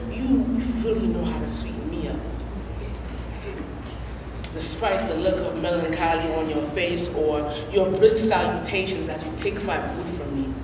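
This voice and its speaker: A young woman performs slam poetry in a slow, rhythmic, emphatic way, leaning on long pauses. Her voice sits a little low. It reaches the mic from a distance in a big, echoey college hall, with clear noise and a low rumble behind her. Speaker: spoken word poet. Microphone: standing mic at a lectern, picked up from a distance.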